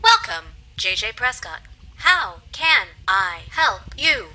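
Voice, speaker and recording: An actress playing a polite, impassive computer voice assistant with measured, formal speech, in an audio spy comedy. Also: female voice, a little high, very animated, pushed and bright, exaggerated voice.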